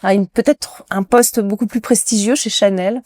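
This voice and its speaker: A woman's voice: mid-range voice, steady tone, moderate pace, dark tone, dry recording, clean studio sound. A woman hosting a TV interview show in a chatty way with fillers, recorded in a restaurant. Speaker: TV host. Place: restaurant in Paris.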